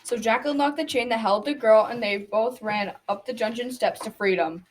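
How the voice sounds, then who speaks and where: A woman's voice: fairly high pitch, moderate pace, close to an everyday voice. A girl as a folksy storyteller, slightly distant. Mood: folksy, storytelling. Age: child about 12 to 13. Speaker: narrator of a school radio drama. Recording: distant mic, faint noise.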